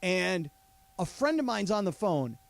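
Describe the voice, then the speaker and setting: A man co-hosting a sports talk radio show, animated and prone to interrupting and repeating himself in studio banter. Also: high voice, unhurried, gravelly, no echo. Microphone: studio mic.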